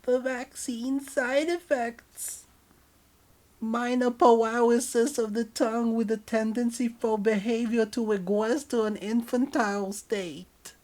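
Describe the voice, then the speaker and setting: A man's voice: very high-pitched, fairly even intonation, takes it slowly, darkish tone, voice pushed up. A man voicing an adult lover who has slipped into whiny, cutesy, apologetic baby talk in an audio story. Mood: dismayed, sheepish. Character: the lover turned babyish, whining, cutesy, apologetic adult partner.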